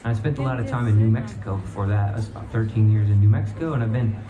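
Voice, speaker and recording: A man's voice: fairly low pitch, rapid-fire, echoey room, muffled recording. A middle-aged American man from Virginia, a banjo musician giving casual, rambling, folksy and upbeat banter between songs at a live festival. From Virginia, United States.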